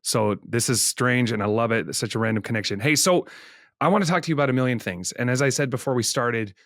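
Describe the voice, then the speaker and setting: A man's voice: mid-range voice, fast talker, a bit bright, no echo, clean studio sound. Enthusiastic chatty male podcast host. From Netherlands. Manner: chatty, enthusiastic, self-deprecating. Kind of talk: casual interview chat.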